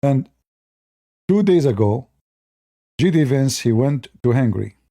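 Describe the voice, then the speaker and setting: A man speaks as a with a mellow, relaxed tone. He delivers rambling, mocking political commentary straight to his viewers and often restarts his sentences. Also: medium pitch, measured pace.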